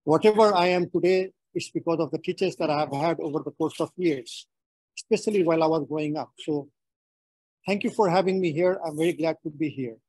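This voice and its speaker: A middle-aged man from Nepal with a Nepali accent gives a prepared webinar talk in a measured, phrase-by-phrase delivery. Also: a little high, darkish tone, breathy edge, no room sound, rough recording. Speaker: webinar speaker.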